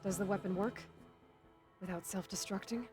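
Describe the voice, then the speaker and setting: A woman's voice: medium pitch, steady tone, moderate pace, music in the background, natural voice. An actress playing a blunt, guarded young warrior woman who leads with dry authority in a video game. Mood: businesslike. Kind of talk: naturalistic game acting.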